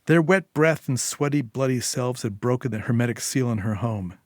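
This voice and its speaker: A man's voice: medium pitch, expressive, moderate pace, a little mellow, dry recording, clean studio sound. A man reading a dramatic short story as a storyteller, in scripted narration.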